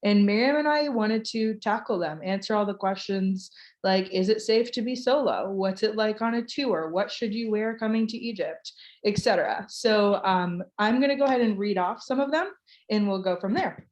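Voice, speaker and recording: A woman's voice: medium pitch, moderate pace, a little mellow. American woman from florida hosting a casual video call q&a, chatty and informal. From United States (Florida). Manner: chatty, informal, reads questions aloud. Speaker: host, tour ambassador. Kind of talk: hosting a live online Q&A.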